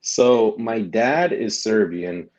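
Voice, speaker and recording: Mellow-voiced man rambling casually. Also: lowish voice, animated, slow, lo-fi sound. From Germany. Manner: rambling, restarts, uses "like" and "right?". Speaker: guest, delivery driver and vlogger. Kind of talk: casual interview chat.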